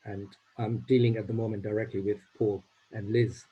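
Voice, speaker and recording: A man from Uganda, a native Swahili speaker, speaking as a charity trustee on a video call, halting and repeating words as he goes. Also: on the low side, little pitch movement, breathy edge, narrow, tinny audio. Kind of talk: informal remarks on a video call meeting.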